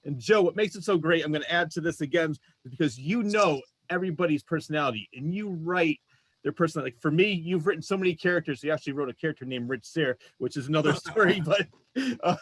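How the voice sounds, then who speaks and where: A man's voice: on the high side, no echo. A man hosting a casual podcast, talking in an enthusiastic, encouraging way. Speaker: podcast host, former radio broadcaster.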